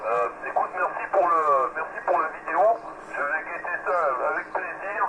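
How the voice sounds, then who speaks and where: A man's voice: fairly high pitch, moderate pace. A man from France chatting casually as an amateur radio operator, heard over a two-way radio transmission. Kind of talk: casual radio contact chat. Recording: noisy two-way radio signal. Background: radio noise.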